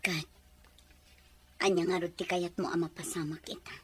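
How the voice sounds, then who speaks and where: A woman's voice: on the low side, flat delivery, normal speed, fairly bright tone, close to an everyday voice. A woman playing a hurt but caring wife and mother in an emotional audio drama. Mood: wary.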